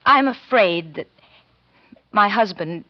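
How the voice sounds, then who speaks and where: A refined, anxious wife in a vintage radio play. She speaks at a medium pitch in halting, hesitant phrases. The old recording sounds dull and boxed in. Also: lively intonation. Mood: anxious, confiding. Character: anxious, refined married woman with a secret. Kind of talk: 1940s radio drama acting.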